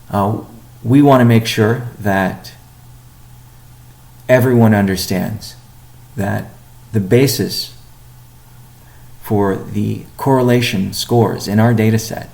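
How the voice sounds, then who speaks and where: A man giving a company update on a conference call as its chief executive, speaking in a measured, halting way with deliberate pauses. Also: lowish voice, vocal fry, darkish tone. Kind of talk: business conference call presentation.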